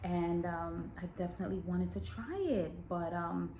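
A woman from Guyana hosting a podcast, talking casually and with emphatic, animated storytelling. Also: on the low side, normal speed, a little hiss or hum. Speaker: podcast host.

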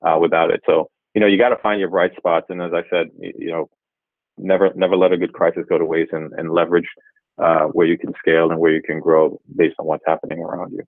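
Deep-voiced man on a tinny line. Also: little pitch movement, no echo. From Jamaica. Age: 40s. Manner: measured and thoughtful, often pausing to rephrase. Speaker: guest, revenue executive. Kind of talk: reflective interview answers. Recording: tinny phone-like line, dry.

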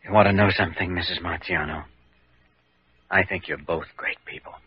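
Médico joven sincero, voz muy grave y ronca, radio antigua apagada. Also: male voice, deep voice, fairly even intonation, moderate pace, husky. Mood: earnest. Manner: earnest, quiet, sincere. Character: earnest young idealistic doctor man. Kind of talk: 1940s radio acting. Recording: muffled old radio broadcast.

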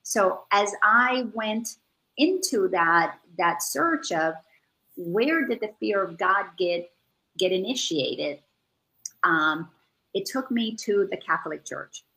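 Gravelly-voiced earnest woman on a call. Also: mid-range voice, expressive, takes it slowly, sharp tone, poor audio. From Dominican Republic. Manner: earnest, searching, repeats words as she thinks. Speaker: guest. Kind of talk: casual spiritual chat.

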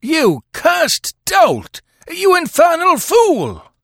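A man voicing an impatient, commanding, quick-tempered police detective in an audiobook, with stern, urgent delivery. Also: medium pitch, huge pitch swings, vocal fry, dry recording, clean studio sound, pitched above the performer's own voice, full character voice. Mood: furious, thundering. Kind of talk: audiobook character voice.

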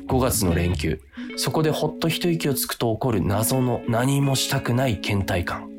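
An actor playing a weary, easily tempted office worker who narrates a comic radio drama with grumbling, self-deprecating drama. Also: male voice, medium pitch, animated, moderate pace, music in the background, lightly acted. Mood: wry narration.

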